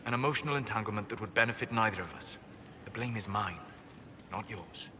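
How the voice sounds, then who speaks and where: A man playing a grave, regretful elven mage in a video game, guarded and formal in his measured speech. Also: fairly low pitch, background noise, lightly acted. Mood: regretful, restrained. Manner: formal, measured, restrained phrasing. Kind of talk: dramatic video game acting.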